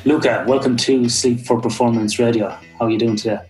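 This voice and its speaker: A man's voice: mid-range voice, fairly even intonation, fairly quick, darkish tone, soft background music, low-quality recording. A man with an Irish accent hosting a podcast, upbeat and encouraging as he introduces and questions his guest. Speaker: podcast host. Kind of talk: podcast interview. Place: home in Australia.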